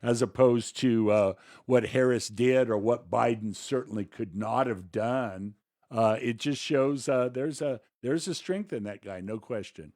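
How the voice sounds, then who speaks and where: A man's voice: medium pitch, measured pace, a little mellow. A man co-hosting a podcast, giving informal, conversational political commentary in loosely strung phrases. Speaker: podcast co-host.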